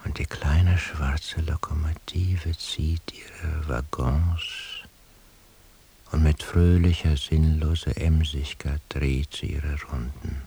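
An actor playing a detached, dreamy man thinking to himself in a hushed, brooding literary radio monologue. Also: very deep voice, slightly rough, heavily acted. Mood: wistful, dreamy. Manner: hushed, slow, brooding, often sinking toward a whisper. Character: detached, dreamy man lost in his solitary inner thoughts. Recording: faint tape hiss.

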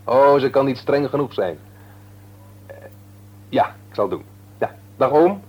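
Husky-voiced, easygoing married shopkeeper. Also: male voice, medium pitch, expressive, unhurried, darkish tone. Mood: polite, dutiful. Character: easygoing, hopeful married shopkeeper, dutiful to his uncle. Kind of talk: Dutch radio serial drama acting.

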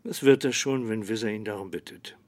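An actor playing a calm, resigned small-town sheriff in a radio drama, speaking in a dry, measured manner. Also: male voice, fairly low pitch, dry recording, close to an everyday voice. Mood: laconic, guarded. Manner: dry, measured, hesitant hums.

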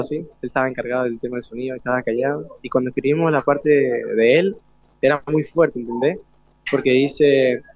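A man speaking casually as an interview guest, searching for his words as he presents a song. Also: mid-range voice. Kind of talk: casual conversation. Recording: dull lo-fi live broadcast audio.

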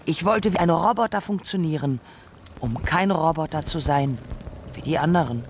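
A performer voicing a reflective radio narrator who muses on robots and humans in deliberate, rhetorical phrases. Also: mid-range voice, animated, slight background noise, natural voice. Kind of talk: scripted radio essay narration. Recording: music behind the voice. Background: music.

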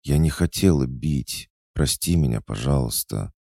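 A man voicing a proud, quick-tempered young noblewoman in an audiobook, sharp and disdainful. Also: a bass voice, expressive, vocal fry, no room sound, studio quality, heavily acted. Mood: contrite.

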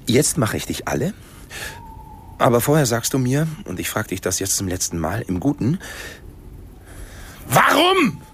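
Highly animated young bully. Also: male voice, measured pace, long pauses, a little hiss or hum, exaggerated voice. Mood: menacing anger. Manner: threatening, confrontational. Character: menacing young male bully, aggrieved gang leader. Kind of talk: radio drama acting.

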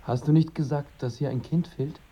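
A man's voice: a little high, fairly even intonation, moderate pace, breathy edge. An actor playing a blunt male visitor in a radio drama, speaking in a plain, slightly hesitant way. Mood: blunt, casual. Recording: dull, muffled sound.

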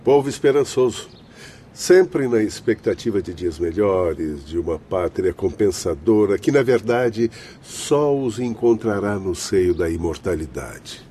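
Grave, mellow Brazilian spirit doctor. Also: male voice, lowish voice, animated, slight background noise. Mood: solemn, admiring. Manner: solemn, formal, measured. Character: grave, compassionate learned spirit doctor and father. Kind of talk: radio drama acting.

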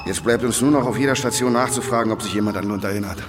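A man's voice: medium pitch, racing, heavy background noise, close to an everyday voice. A man playing a determined, cautious police inspector in a German radio drama, purposeful and commanding. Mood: earnest.

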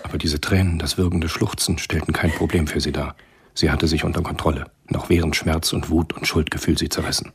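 An actor narrating a radio drama as a grave, detached storyteller in a measured, restrained manner. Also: male voice, extremely low pitch, level tone, slightly rough, heavily acted.

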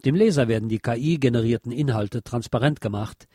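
A man narrating a scripted journalistic essay in a measured, formal read-aloud delivery. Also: medium pitch, mellow, dry recording, clean studio sound.

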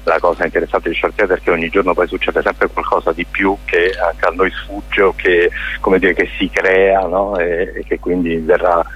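A man's voice: low voice, little pitch movement, normal speed, husky, dull audio. A man answering as a festival director in a radio interview, discursive and hesitant as he searches for words.

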